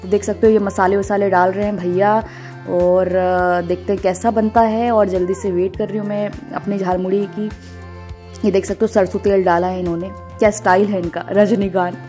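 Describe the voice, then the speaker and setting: A young woman narrates her travel vlog in an informal, chatty style. Her voice sits at an ordinary female pitch and speaks straight to the viewers. Music plays behind her. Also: level tone, moderate pace, dark tone. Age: 20s. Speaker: travel vlogger. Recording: music under the voice. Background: background music.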